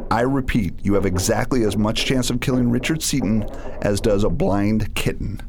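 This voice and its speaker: A man playing a cold-blooded genius villain from a space opera radio play. He talks down to everyone with scornful authority in a lowish voice. The recording has clear background noise. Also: normal speed, lightly acted. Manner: scornful, domineering. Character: arrogant, ruthless male villain scientist in space opera. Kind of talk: radio drama acting.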